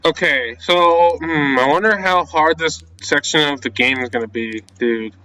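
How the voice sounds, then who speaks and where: A man's voice: a little high, unhurried, pushed and bright, rough recording. A young man playing a game on video, reading its text aloud and reacting in casual, jumpy slang as he talks to himself. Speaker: gaming video creator.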